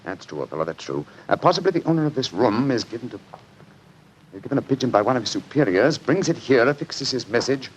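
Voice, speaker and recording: An actor playing a calm, deductive English gentleman detective, speaking deliberately in an old radio drama. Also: mid-range voice, lightly acted. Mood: intent, deducing. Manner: measured, deliberate, explanatory. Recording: noisy old radio recording with clicks.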